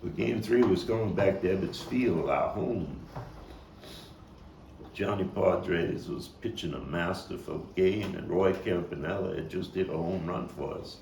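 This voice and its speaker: A man performing a dramatic monologue as a Brooklyn man recalling a violent boyhood, halting and deliberate, with repeats and weighty pauses. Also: deep voice, vocal fry, darkish tone. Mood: tense, urgent. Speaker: actor and playwright reading his own monologue. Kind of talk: dramatic monologue at a table read. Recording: roomy, distant mic with faint background noise.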